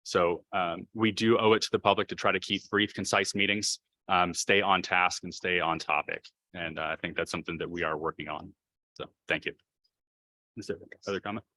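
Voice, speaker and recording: A man leading a school board meeting, speaking in a measured, questioning way with many fillers. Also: low voice, steady tone, bright, forceful tone, no echo. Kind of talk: formal meeting discussion.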